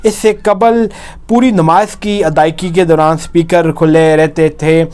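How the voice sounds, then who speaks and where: Male news commentator, Pakistani accent, smooth clear voice on the high side, explains and restates points. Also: steady tone, measured pace, darkish tone. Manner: explanatory, often rephrasing and repeating points.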